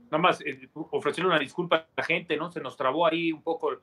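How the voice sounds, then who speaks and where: A man's voice: fairly high pitch, fairly even intonation, quick pace, a bit bright, husky. A man chatting casually as a sports radio co-host, informal and prone to repeating words.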